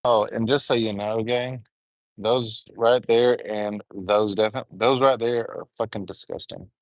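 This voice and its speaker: A young man with a Southern drawl chats loosely to his live stream viewers and keeps calling them gang. His voice sits fairly low and sounds close and dry, with no room around it. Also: steady tone, unhurried, no room sound, poor audio. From Alabama. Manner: rambling, chatty, addresses viewers as gang. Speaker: livestreamer. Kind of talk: casual live stream chat. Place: on the road and in a store.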